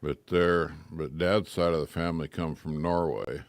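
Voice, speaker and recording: Deep-voiced older man, folksy and plainspoken. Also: fairly even intonation, normal speed, dry recording. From rural Washington state, United States. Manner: folksy, plainspoken, brief answers. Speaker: radio show participant. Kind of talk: casual chat. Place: radio studio.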